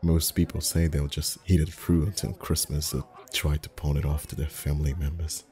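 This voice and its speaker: A man acting as a friendly festival face painter with an extremely low, mellow voice. He chats intimately in ASMR roleplay style, easygoing and gently teasing, with a flirty streak. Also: little pitch movement, normal speed. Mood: casual, teasing. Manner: chatty, gently teasing, soft chuckles. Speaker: voice actor in an audio roleplay. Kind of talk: ASMR roleplay, one-sided intimate conversation.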